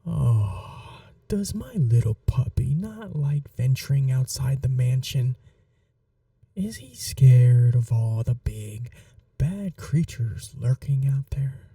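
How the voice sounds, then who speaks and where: A woman playing an aristocratic, possessive vampire mistress in a teasing, quietly menacing, breathy, intimate ASMR roleplay, often dropping to a whisper. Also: rumbling low, lively intonation, no room sound, recorded from a distance, full character voice. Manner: slow, languid, condescendingly affectionate. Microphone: close microphone, dry.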